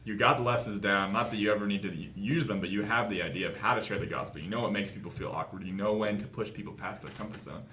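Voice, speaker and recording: Fast, low-voiced young American man. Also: pushed and bright. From Los Angeles, United States. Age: 20s. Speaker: author, interview guest. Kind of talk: casual interview chat. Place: small office conference room. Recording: roomy echo, clear background room noise.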